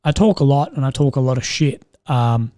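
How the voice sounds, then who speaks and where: A young man with a strained, slightly croaky voice, rambling and swearing as he chats with viewers on a live stream. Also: medium pitch, expressive, normal speed, mellow, no room sound, clean studio sound. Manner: rambling, swears often, laughs at own jokes. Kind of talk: casual live stream chat.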